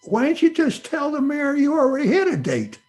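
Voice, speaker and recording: A woman puts on a cheeky young nephew from a radio comedy. The delivery is smart-alecky and very animated in pitch. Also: fairly quick. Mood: curious. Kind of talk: old-time radio comedy acting.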